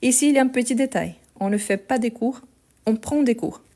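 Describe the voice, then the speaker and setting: A woman's voice: on the high side, warm tone. A woman teaching French in a live online lesson, correcting a text step by step. She explains patiently, repeats words often and talks into a phone she holds in her hand. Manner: slow, patient explaining with many "donc" and repetitions. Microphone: phone held in hand.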